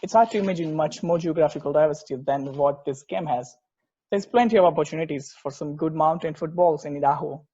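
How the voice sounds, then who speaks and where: An earnest, opinionated sports purist played by a man talks in a slightly high voice. The sound is dull and lo-fi. The delivery is a conversational script reading, emphatic, with the odd stumble. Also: fairly quick, warm tone, husky. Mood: explanatory, bemused. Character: opinionated purist football fan, earnest and particular. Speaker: amateur actor in a remote table read.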